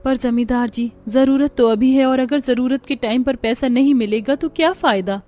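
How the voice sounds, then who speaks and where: A woman's voice: high-pitched, moderate pace, dark tone, pitched above the performer's own voice, close to an everyday voice. A woman voicing a poor village mother in a cartoon, sorrowful but resolute, earnest and tender. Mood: pleading. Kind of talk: Hindi cartoon dialogue.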